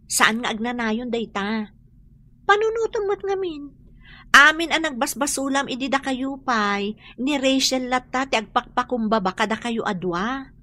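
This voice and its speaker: Bright, scolding woman. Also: sits high, animated, unhurried, heavily acted. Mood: admonishing. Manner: chiding, emphatic. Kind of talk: Ilocano radio drama acting.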